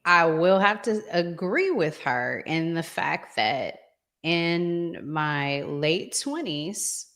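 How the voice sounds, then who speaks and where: Woman, talk host, very slow deliberate delivery, lively swinging intonation, conversational. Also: low voice. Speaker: podcast host. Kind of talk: conversational discussion of relationships.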